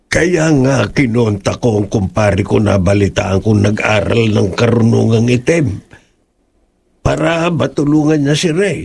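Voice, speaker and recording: Gravelly, concerned father. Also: on the low side, a little slow, darkish tone, exaggerated voice. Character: concerned middle-aged father, protective. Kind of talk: horror audio drama acting.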